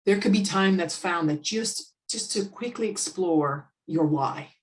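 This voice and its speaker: An outgoing American woman with a low voice talks through business ideas in a relaxed chat. She comes at her points in quick bursts and often restarts mid-sentence. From United States. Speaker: guest expert.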